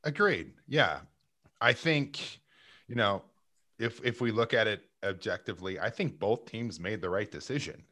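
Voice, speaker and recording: A man's voice: lowish voice, moderate pace. A man co-hosting a sports podcast, chatting casually and joking at his own expense while breaking down games. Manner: playful, self-mocking, animated analysis. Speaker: podcast co-host.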